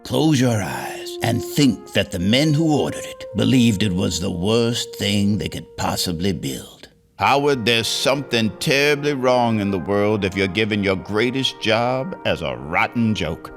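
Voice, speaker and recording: A man's voice: fairly low pitch, expressive, unhurried, soft background music. An actor playing a bitter, indignant man in a radio drama, with an impassioned, scornful manner. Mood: disgusted, anguished. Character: bitter, indignant male friend with cynical intensity.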